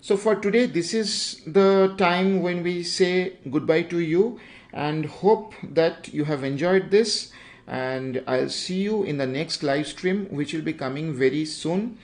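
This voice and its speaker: A young man with an Indian English accent teaching a drawing livestream, unhurried and halting, with many fillers. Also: a little high, darkish tone. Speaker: art tutor.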